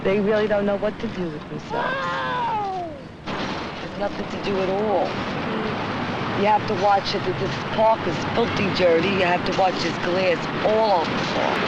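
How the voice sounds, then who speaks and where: A young woman speaking plainly in a candid documentary interview as a mother, repeating her points for emphasis. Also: medium pitch. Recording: dull, very noisy old newsreel film sound.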